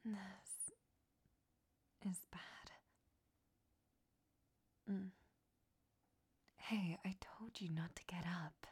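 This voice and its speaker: A woman's voice: medium pitch, very slow, long pauses, a little mellow, slightly rough. A woman whispering a roleplay monologue to a listener, scolding him and then turning tender and confessional.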